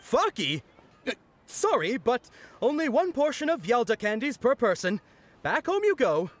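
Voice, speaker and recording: A man playing an earnest, bashful young festival worker in a video game, with a hesitant, expressive delivery. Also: a little high. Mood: flustered. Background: music.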